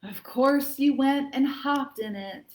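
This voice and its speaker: A woman hosting a children's storytime, reading poems aloud and chatting in an expressive, encouraging manner. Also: sits high, slow. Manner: expressive, encouraging, addressing young listeners. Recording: echoey, roomy sound.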